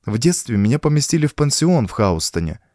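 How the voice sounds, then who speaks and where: A man voicing a frightened, bitter young woman in an audiobook, speaking in a plaintive, soft, emotional way. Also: medium pitch, very animated, fairly quick, no echo, clean studio sound, pitched above the performer's own voice, lightly acted. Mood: anxious, confiding. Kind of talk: audiobook character dialogue.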